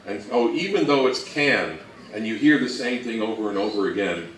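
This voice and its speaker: A man giving an informal, explanatory talk on radio history as a presenter, speaking somewhat haltingly. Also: lowish voice, lively intonation, a bit bright. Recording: roomy, distant mic, faint noise.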